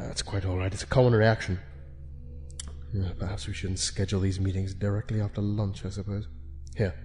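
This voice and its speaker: A man plays a senior official of a shadowy ethics committee in an audio drama. The voice is fairly low and very bright, calm but menacing, with deliberate, condescending authority. Music plays clearly behind it. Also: close to an everyday voice. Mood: mildly soothing. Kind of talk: dramatic scripted monologue for an audio log.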